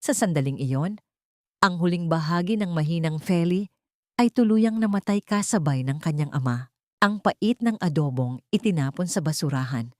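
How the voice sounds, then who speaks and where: A woman's voice: fairly low pitch, lively intonation, moderate pace, no echo, studio quality. A woman narrating a scripted dramatic story in a solemn, emotive manner.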